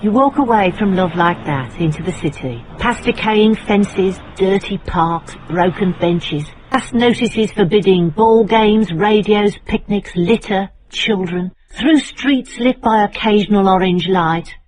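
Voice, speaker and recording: An actress playing a watchful, brooding narrator, delivering literary drama monologue in incantatory short phrases. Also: female voice, mid-range voice, unhurried, hardly any pauses, darkish tone, slight background noise, natural voice, poor audio. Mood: bleak, wistful. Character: brooding, watchful female narrator of a bleak city.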